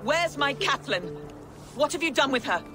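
A woman's voice: sits high, sing-song, normal speed, over music. A woman voicing an indignant, defiant Saxon queen held captive in a video game. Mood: angry defiance. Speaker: game character actor. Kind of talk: dramatic video game acting.

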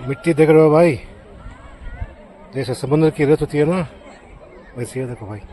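A man's voice: a little high, moderate pace, warm tone, soft background music, some chatter behind. A man in his thirties from Bangalore, chatting casually as he films his travel vlog at a river beach and showing viewers around. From Bangalore, India. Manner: chatty, mixes English into Hindi, points things out to viewers. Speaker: travel vlogger. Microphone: handheld selfie camera at arm's length.

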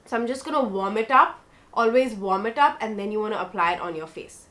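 A woman's voice: medium pitch, animated, fairly quick. A young woman from India with a South Indian accent, chatting casually through a makeup tutorial in her bedroom and slipping in Hindi. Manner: chatty and warm, mixing English with Hindi. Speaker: beauty vlogger. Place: bedroom, indoors.

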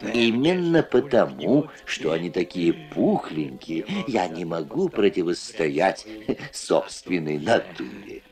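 A man's voice: low voice, very animated, slow, exaggerated voice. A man voicing a stern, grumbling but grudgingly helpful adult stork in a dubbed puppet cartoon. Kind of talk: dubbed puppet animation dialogue. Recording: old film soundtrack with loud music.

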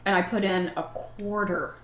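A woman's voice: mid-range voice, steady tone, moderate pace, fairly bright tone, slight background noise. A woman in her thirties hosting a cooking vlog, narrating plainly and then chatting over a tasting at a dining table. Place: dining room in a friend's house.

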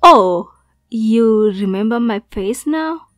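Woman acting a slow, sweetly obsessive yandere girl. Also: a little high, animated, no echo, studio quality, soft background music. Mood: playful, possessive. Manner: slow, cutesy, teasing, breathy asides. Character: obsessive, sweet-menacing young yandere girlfriend kidnapper. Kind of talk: roleplay ASMR.

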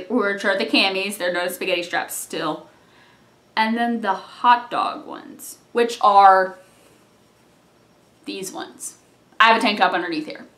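A young adult telling a rambling personal story from a couch in a small living room, recorded by a camera a few feet away, trailing off into quiet asides. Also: mid-range voice. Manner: chatty, rambling, drops to mumbles and asides. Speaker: vlogger. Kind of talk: casual storytime vlog. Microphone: camera on a tripod a few feet away. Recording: echoey room.

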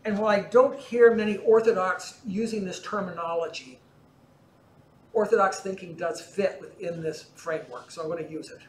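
An older man with a fairly high voice teaches a parish class in an easy, conversational way, gesturing as he explains. His voice rings through a large church and is picked up by a far camera. A faint hum sits underneath. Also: normal speed, roomy sound. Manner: relaxed explaining, gesturing as he talks. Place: large church interior in front of icons.

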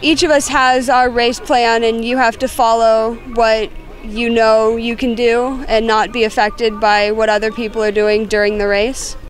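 A young American woman answers interview questions with quick, upbeat energy. Her voice sits a little high. She holds a mic close while standing outdoors by the course. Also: level tone. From United States. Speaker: canoe sprint athlete. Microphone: handheld mic.

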